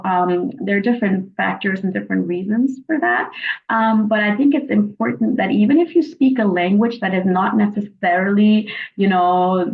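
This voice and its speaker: A woman from Pakistan talks as a podcast guest, thoughtful and relaxed, often pausing on fillers and restarting her sentences. Her voice has a gravelly, fry-tinged edge. The audio comes through somewhat dull and lo-fi. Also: mid-range voice. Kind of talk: casual conversation.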